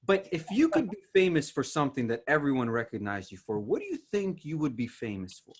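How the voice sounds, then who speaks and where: A man from Ukraine hosting a podcast with casual, teasing banter and animated storytelling, in a husky voice. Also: a little high, fairly quick. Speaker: podcast host.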